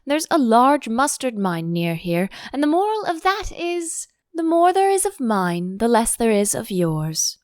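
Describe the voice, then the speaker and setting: A woman's voice: a little high, very animated, a little slow, hardly any pauses, a little mellow, dry recording, clean studio sound. A woman voicing an overbearing, affectionate older Duchess in a storybook, effusive and sententious, drawing out her phrases. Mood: pleased, agreeable.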